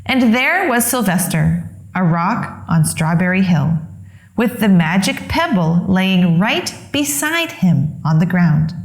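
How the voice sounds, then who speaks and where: A woman reading a children's story aloud as expressive narration, with deliberate, dramatic phrasing. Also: mid-range voice, echoey room. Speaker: children's story reader, host.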